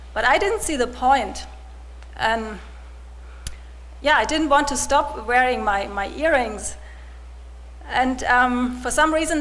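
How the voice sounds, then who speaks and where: A woman in her forties, from Germany, giving a personal testimony to a congregation in a soft-spoken, hesitant way with many restarts. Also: high-pitched. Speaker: church volunteer giving testimony.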